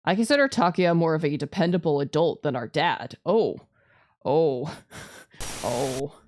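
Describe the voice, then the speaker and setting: A woman's voice: low voice, animated, measured pace, a little mellow. A woman streaming a game, reading story text aloud and dropping in casual, sweary asides. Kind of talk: let's play, reading visual novel text aloud with casual commentary. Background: background music.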